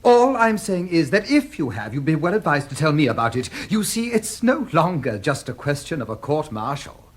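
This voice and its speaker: A stern, cautioning British diplomat in a radio play. He speaks formally and admonishingly in a clipped British accent, with lively rises and falls. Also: a little high, normal speed, hardly any pauses, slightly rough. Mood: stern warning. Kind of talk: radio drama acting.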